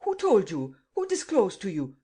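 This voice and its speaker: A reader doing an excitable old German man. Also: medium pitch, normal speed. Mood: alarmed. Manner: stammering, exclaiming.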